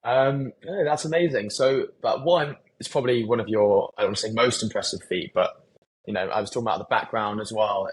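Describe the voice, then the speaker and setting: A man's voice: on the low side, brisk, a little hiss or hum, poor audio. A man hosting a podcast, asking eager questions with a light, jokey touch. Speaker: podcast host. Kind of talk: casual podcast conversation.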